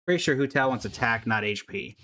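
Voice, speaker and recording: A man's voice: medium pitch, lively intonation, fairly quick, bright, forceful tone, slight background noise. A man streaming a video game, giving casual live commentary, greeting viewers and making playful asides to himself and the characters.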